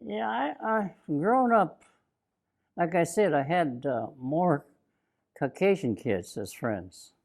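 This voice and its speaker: A man in his nineties from Bainbridge Island, Washington, telling his own memories in an oral history interview, slow and reflective, halting with fillers and restarts. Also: fairly high pitch, expressive, creaky. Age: 90s. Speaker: interviewee, WWII-era Japanese American. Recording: interview recording.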